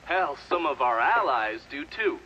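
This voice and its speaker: An actor playing a shrewd, sardonic intelligence operative in a video game, dry and matter-of-fact. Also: male voice, on the high side, very animated, a little slow, sharp tone, highs cut off, slight background noise, heavily acted. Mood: matter-of-fact recounting. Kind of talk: cinematic game dialogue, part recounted testimony.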